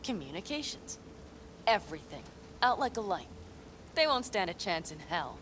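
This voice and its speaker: A blunt, self-assured nomad woman, laying out her plans in a dry, matter-of-fact way. Her voice sits a little low, with faint noise under the game dialogue. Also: sing-song, moderate pace, fairly bright tone. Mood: calm, assured. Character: older female desert nomad planner, blunt and dry. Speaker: game character.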